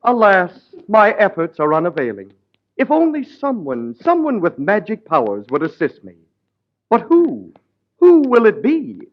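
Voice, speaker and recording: A man's voice: high voice, huge pitch swings, a little slow. A man narrating in mock-grand, theatrical storybook tones in 1940s radio comedy. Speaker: announcer. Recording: dull 1940s radio broadcast with music.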